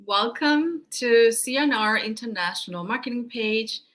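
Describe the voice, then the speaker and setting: Woman host, bright upbeat voice, friendly interview lead. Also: on the high side, animated, a little slow. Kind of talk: casual interview hosting.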